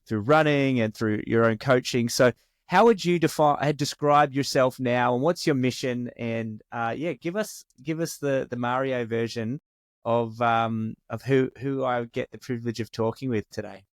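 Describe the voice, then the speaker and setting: A man hosting a podcast with a smooth, resonant voice, recorded dry and close. He asks friendly questions in a halting way, full of ums and restarts. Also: mid-range voice, no room sound. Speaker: podcast host. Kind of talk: casual interview.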